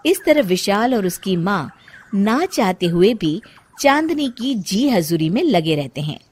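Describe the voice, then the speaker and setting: A woman's voice: medium pitch, big pitch swings, a little slow, a little mellow, slightly rough. A woman reading scripted narration for a comic story as its narrator.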